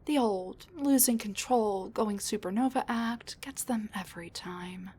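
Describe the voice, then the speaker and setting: A woman playing a sassy, rambling wounded supervillain with a soft side, talking intimately to the listener in a roleplay audio drama, with breathy asides and sighs. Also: medium pitch, measured pace, a little hiss or hum, lightly acted. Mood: weary, wry.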